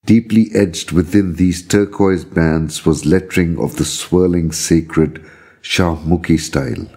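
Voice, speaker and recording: Very deep, husky, mellow male narrator. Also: steady tone, takes it slowly. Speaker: storyteller reading own account. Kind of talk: scripted narration of a visionary journey.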